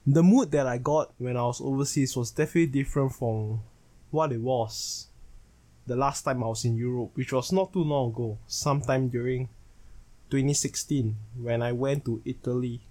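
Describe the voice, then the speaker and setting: A Singaporean man in his twenties delivers a solo podcast monologue as host, in measured, phrase-by-phrase fashion. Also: medium pitch, steady tone, mellow. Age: 20s.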